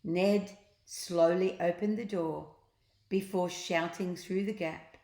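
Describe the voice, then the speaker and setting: A woman's voice: on the low side, steady tone, slow pace, creaky, dark tone, reverberant. A woman reading a children's story aloud expressively, acting out sounds and adding little asides to her listeners. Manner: theatrical, acts out sound effects.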